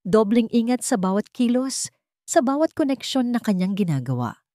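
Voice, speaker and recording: A woman reading a dramatic scripted story with a measured, suspenseful delivery. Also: a little high, very animated, dark tone, dry recording, clean studio sound. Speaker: story narrator.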